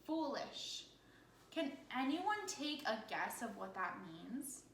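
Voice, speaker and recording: A woman with a fairly high, very animated voice reads a picture book aloud like a teacher. Her delivery is bright and encouraging, with questions along the way. The recording is echoey with faint noise. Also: slow pace. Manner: expressive, encouraging, often asking questions. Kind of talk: interactive children's story read-aloud.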